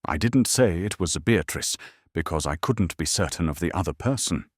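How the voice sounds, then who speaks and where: A man's voice: deep voice, lively intonation, normal speed, no echo, studio quality. A man voicing a nervous male witness who insists anxiously on his account in an audio drama. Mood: anxious, defensive.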